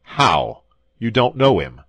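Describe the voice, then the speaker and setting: A man's voice: lowish voice, big pitch swings, slow pace, gravelly, husky, no echo, voice pushed up, heavily acted. A man doing a stern, blustering commissary. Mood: astonished. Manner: loud, severe interrogating.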